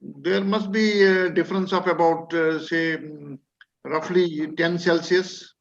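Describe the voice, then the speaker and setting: A man's voice: fairly high pitch, steady tone, slow pace, vocal fry. A man from Kashmir chatting casually before a webinar, hesitant and often correcting himself. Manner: hesitant, self-correcting, many fillers. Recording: muffled webinar audio.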